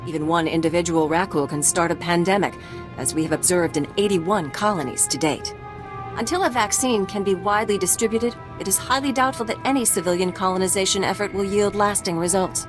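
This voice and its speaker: An actress playing a dedicated, earnest and wry scientist in a video game, precise and formal, with music behind. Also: female voice, mid-range voice, fairly quick, lightly acted. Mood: formal, informative.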